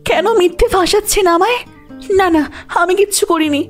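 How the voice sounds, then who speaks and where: A woman playing a frightened young woman accused of murder, in a Bengali audio drama, with shrill, panicked denials. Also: moderate pace, soft background music.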